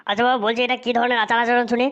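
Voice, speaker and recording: Woman voicing an indignant, rapid-fire young bridegroom. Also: a little high, flat delivery, a bit bright, highs cut off, close to an everyday voice. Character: indignant, touchy, rude young male bridegroom. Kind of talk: comedic cartoon dubbing.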